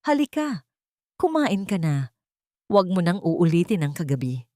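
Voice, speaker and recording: A woman voicing a stern older mother who feigns pious, forgiving pity in a condescending, falsely gentle way, in an audio drama. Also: on the low side, big pitch swings, normal speed, no room sound, clean studio sound.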